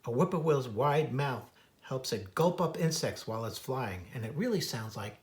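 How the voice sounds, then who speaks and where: A man's voice: medium pitch, expressive, moderate pace, very noisy. An American man reading a children's book aloud as its author and naturalist, adding informal asides about wildlife. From United States. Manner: imitates bird calls in a singsong.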